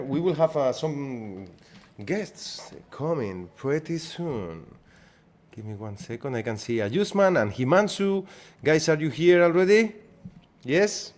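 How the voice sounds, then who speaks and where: A man with a Spanish accent, a native Spanish speaker, hosting a live trading webinar in casual chat, greeting viewers by name and often repeating himself. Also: mid-range voice. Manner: chatty, greets viewers by name, repeats phrases, restarts sentences.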